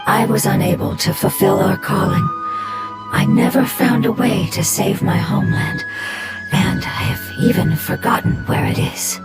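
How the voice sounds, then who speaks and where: Brief: a solemn old non-human being, swinging widely in pitch, over loud game music. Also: female voice, normal speed. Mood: wistful. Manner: calm, solemn, formal. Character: ancient non-human life form, solemn and sorrowful. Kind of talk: video game voice acting.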